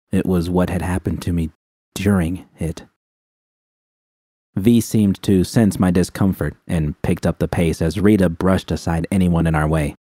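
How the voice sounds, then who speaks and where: A man reading a first-person fan story aloud in a quiet, subdued manner. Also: low-pitched, no echo, studio quality. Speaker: audiobook reader.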